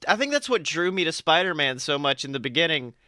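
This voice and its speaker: A man's voice: on the high side, big pitch swings, fast talker, pushed and bright, no echo. A man co-hosting a casual pop culture podcast, talking emphatically with strong opinions and free swearing. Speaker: podcast co-host. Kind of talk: casual podcast banter about films and comics.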